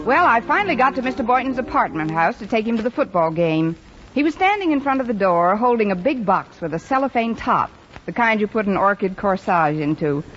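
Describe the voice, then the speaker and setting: A woman's voice: medium pitch, very animated, brisk, natural voice. A woman playing a wry, wisecracking American schoolteacher in a vintage radio comedy. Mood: dryly amused. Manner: dry, sardonic. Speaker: lead actress and narrator. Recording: noisy muffled old radio recording.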